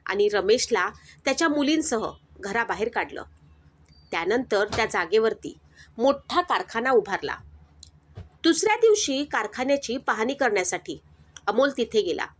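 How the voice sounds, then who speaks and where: A woman reads out a family moral story in a scripted, dramatic storytelling voice. Her pitch sits fairly high, and her delivery stays steady and measured.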